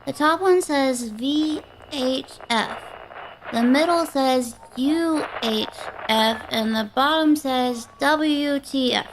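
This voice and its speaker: High-voiced, innocent little girl played by a woman. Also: takes it slowly, background noise. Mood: puzzled. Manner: innocent, childlike. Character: timid, gullible little sister, young girl. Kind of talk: audio horror-comedy drama.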